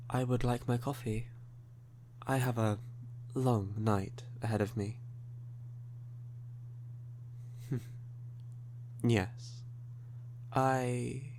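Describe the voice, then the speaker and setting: Slow-talking, suave vampire played by a man. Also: fairly low pitch, a little mellow, a little hiss or hum. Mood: courtly, wry. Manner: courtly, unhurried, teasing, formal wording. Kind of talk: ASMR audio roleplay.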